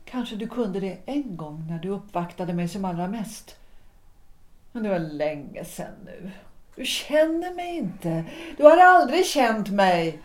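An actress playing a cool, resolute, disillusioned wife in a period audio drama, speaking deliberately and with reproach. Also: female voice, mid-range voice, very animated, close to an everyday voice. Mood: cool, reproachful. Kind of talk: period stage-play audio drama acting.

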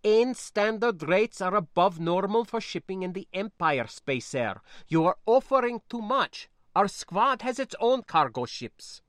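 A male narrator plays a proud young niece in an audiobook. The voice is raised high and bright, with formal, clipped phrasing. It is scornful and fiery, with worry underneath, and recorded very dry. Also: lively intonation, unhurried, few pauses, no room sound. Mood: curt, objecting.

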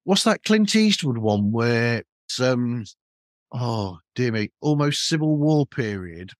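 A man's voice: mid-range voice, very animated, slow pace, dry recording, studio quality. A man hosting a podcast, chatting casually in a rambling, anecdotal way. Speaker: podcast host. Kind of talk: casual conversational interview.

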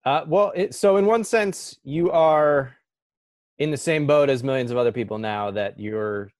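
A man's voice: mid-range voice, darkish tone, no echo. Male podcast host, hesitant interviewer, dry close-miked voice. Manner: halting, searching for words. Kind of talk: interview questions.

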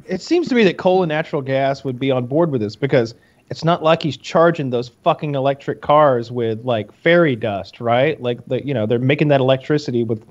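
A man with a middle pitch jokes crudely and swears in casual talk. His voice comes through a dull, lo-fi video call. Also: a little fast, darkish tone. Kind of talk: casual chat.

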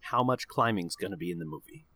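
Bright, close-sounding man, dryly joking. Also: deep voice, expressive, quick pace, no room sound. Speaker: podcast co-host. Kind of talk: casual chat.

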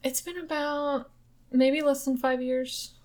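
A woman's voice: sits high, steady tone, a little mellow. Chatty young woman podcast host. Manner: chatty, swears casually, quick asides. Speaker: podcast host telling ghost stories. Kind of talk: casual chat.

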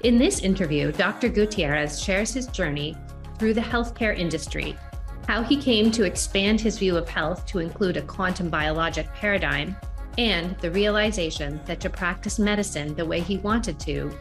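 A woman hosting a podcast, reading a scripted introduction in a clear, evenly paced way. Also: fairly low pitch, normal speed, soft background music. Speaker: podcast host. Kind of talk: scripted intro, then casual interviewing.

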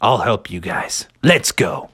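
Storybook bridge troll acted by a storyteller: gruff, growly, theatrical, willing to help. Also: mid-range voice, lively intonation, slow, a bit bright, voice pushed down, heavily acted. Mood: confident. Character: gruff but helpful bridge troll who sniffs out trails.

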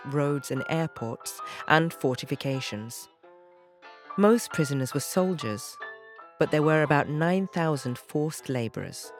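A woman reading scripted narration as the narrator of an audio drama. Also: low-pitched. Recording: music behind the voice. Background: plucked guitar music.

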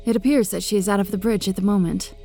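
Composed, courteous actress as a cyborg medic. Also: female voice, medium pitch, steady tone, fast talker, gravelly, dark tone, soft background music, natural voice. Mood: polite, composed. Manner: polite, formal, composed. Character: courteous, composed female cyborg medic-priest of machine cult. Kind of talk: audio drama acting.

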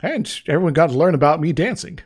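A man co-hosting a casual podcast, getting over an illness with a sore throat, talking haltingly with many restarts and fillers. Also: on the high side, animated, husky. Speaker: podcast co-host.

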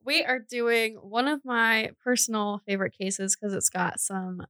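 Bright-voiced, chatty woman telling true crime. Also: on the high side, steady tone, measured pace, no room sound, clean studio sound. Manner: giggly, jokey, chatty. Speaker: podcast host. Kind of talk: casual true crime storytelling chat.

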